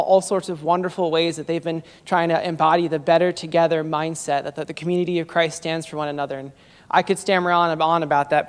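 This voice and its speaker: Male pastor, higher pitch, smooth and resonant, flat steady delivery, church hall echo. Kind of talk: church announcement and introduction. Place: church sanctuary.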